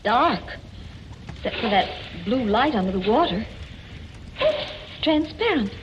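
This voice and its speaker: An easily unsettled young wife, animated high voice, noisy golden-age radio recording. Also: female voice, slow pace, highs cut off. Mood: frightened. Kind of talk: 1940s radio drama acting.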